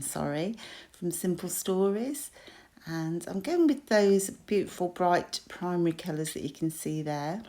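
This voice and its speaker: A British woman in her forties with a croaky voice, chatting casually as she talks through a scrapbook craft project with lots of ums and small laughs. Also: lowish voice, warm tone. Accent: British English. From United Kingdom. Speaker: crafter and shop designer. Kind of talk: crafting tutorial voice-over.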